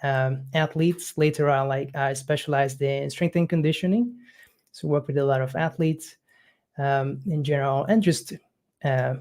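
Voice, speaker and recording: A man's voice: medium pitch, a little mellow. Guy coach, young, lots of ums and uhs, casual chat. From Hungary. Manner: halting, many fillers, non-native phrasing.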